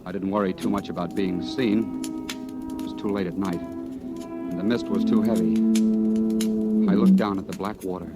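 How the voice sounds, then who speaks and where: A man's voice: sits low, steady tone, moderate pace, long pauses, natural voice. An actor playing an embittered, despairing young American man, bitter and intense, in a 1940s radio drama. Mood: haunted, brooding. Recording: vintage 1940s radio recording, music underneath.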